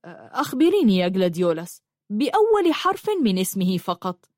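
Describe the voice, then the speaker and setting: A woman voicing a young man who poses as a magician in a storybook, serious, measured and kindly. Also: mid-range voice, animated, dry recording, clean studio sound, close to an everyday voice. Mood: solemn, gentle. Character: young man posing as a solemn, gentle magician.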